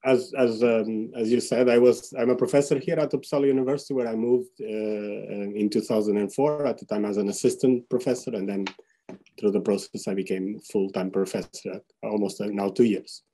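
A man's voice: lowish voice, fairly even intonation, fairly quick, dark tone. A man in his thirties with a Portuguese accent, a professor speaking off the cuff with hesitant repeated words. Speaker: professor, seminar guest. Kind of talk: spontaneous account of career. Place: indoors on a video call with virtual background.

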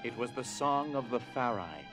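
A man reading dramatized storybook narration in a measured, solemn way. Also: mid-range voice, animated. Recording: music behind.

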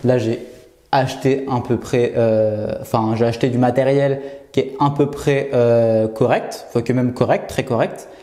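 A man's voice: mid-range voice, steady tone, normal speed, warm tone. A young man in his twenties vlogging to camera in a small room, unscripted, with many fillers, stutters and restarts. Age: 20s. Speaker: YouTuber. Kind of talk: unscripted vlog talking to camera. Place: small bare room indoors, seated. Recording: roomy echo.

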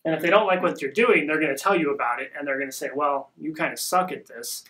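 A young man hosting a casual podcast at home, talking in a rambling, upbeat way with a soft voice, recorded by a camera across a small room. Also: fairly high pitch, quick pace, low-quality recording. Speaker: podcast host. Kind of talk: casual marketing podcast talk.

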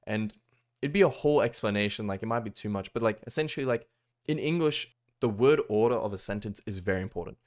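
Young man, low voice, casual rambling, small bedroom. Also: quick pace. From Australia. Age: young adult. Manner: rambling, filler-heavy, lots of "like". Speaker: language-learning vlogger. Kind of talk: casual talking-head vlog.

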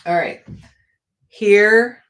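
A woman's voice: medium pitch, very slow, a bit bright. A middle-aged woman chatting casually with viewers on a live art stream, rambling and talking straight to them, losing her voice a little. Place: home art studio, indoors.

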